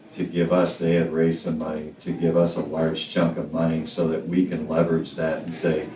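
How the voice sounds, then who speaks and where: Middle-aged man, missionary, very low voice, flat and steady, roomy sound from off-mic. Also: far from the mic. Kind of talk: casual panel conversation.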